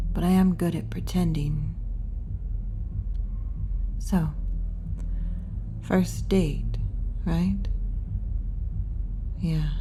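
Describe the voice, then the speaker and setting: A muscular tomboy wolf girl tending bar, acted by a woman in an ASMR roleplay. She talks slowly in a dark, relaxed tone, teasing and kindly, close to a whisper at times. Faint ambient noise sits behind her. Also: fairly low pitch. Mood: wry, sympathetic. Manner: laid-back, wry, intimate, often dropping to a whisper. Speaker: roleplay performer. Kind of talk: ASMR roleplay, addressing the listener.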